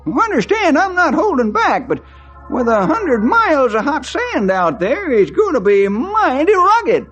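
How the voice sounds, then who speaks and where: A man's voice: very high voice, wildly swooping pitch, measured pace, muffled recording, over music, heavily acted. A man playing a crotchety old cowboy sidekick in an old radio western, with a folksy, grumbling way of talking. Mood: folksy concern. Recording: old radio drama recording.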